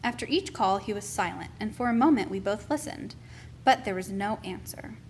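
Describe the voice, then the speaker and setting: A woman reading a children's picture book aloud as expressive, deliberate storytelling. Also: mid-range voice, slight background noise.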